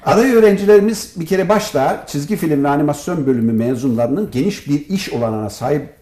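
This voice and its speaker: A man speaking as a professor and interview guest, giving formal, emphatic and deliberate answers. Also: on the high side, lively intonation, dark tone. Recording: roomy echo.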